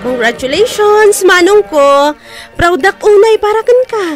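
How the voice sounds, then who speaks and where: An actress playing an excited, adoring younger sister in a radio drama, loud and gushing. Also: female voice, very high voice, a little slow, faint music behind. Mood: excited, proud. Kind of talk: Filipino radio drama acting.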